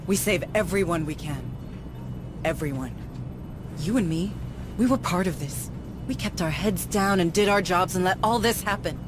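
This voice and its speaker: Lowish female voice, actress as determined sci-fi game heroine, principled leader, music behind her. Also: normal speed, pauses often, lightly acted. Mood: earnest, resolute. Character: resolute, principled woman administrator leading a sci-fi rescue mission. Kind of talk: cinematic video game acting. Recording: loud music under dialogue.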